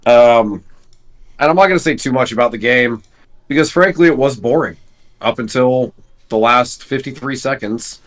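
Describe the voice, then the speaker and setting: A man co-hosting a casual sports podcast, rambling with many fillers, his voice gravelly from screaming. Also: fairly low pitch, fairly bright tone. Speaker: podcast co-host.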